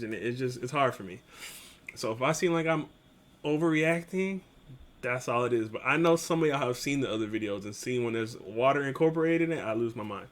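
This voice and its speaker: A man hosting a reaction video, talking casually and directly to viewers in informal, slangy speech. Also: mid-range voice.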